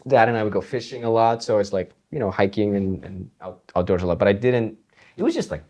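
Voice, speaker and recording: A laid-back man who grew up in Iceland talks through his creative process in a casual interview. His voice sits on the low side, and he rambles loosely with fillers and easy laughter. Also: fast talker. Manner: relaxed, rambling, laughs often, many fillers. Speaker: guitarist and composer guest.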